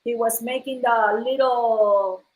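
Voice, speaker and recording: A woman's voice: on the high side, steady tone, takes it slowly, a little mellow. Earnest woman from Ecuador, echoey room. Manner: earnest storytelling, addresses viewers as guys. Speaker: host. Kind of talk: casual live-stream chat. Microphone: phone live stream.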